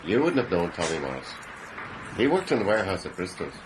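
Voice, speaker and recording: An actor playing a middle-aged, plain-spoken, defensive British working husband in a radio drama. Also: male voice, low-pitched, sing-song, fast, background noise. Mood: reminiscing.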